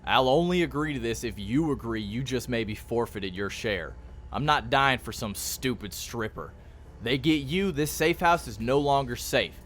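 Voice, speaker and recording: An actor playing a hard-nosed, grudging vice cop in a radio play, blunt and warning. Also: male voice, mid-range voice, animated, moderate pace, a bit bright, a little hiss or hum, close to an everyday voice. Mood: stern warning.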